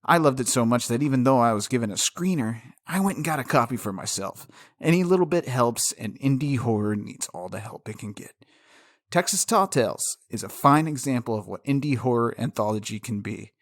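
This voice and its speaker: Male review host, mid-range voice, animated melody, emphatic and enthusiastic scripted delivery, dry recording. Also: medium pitch, a little fast, no echo.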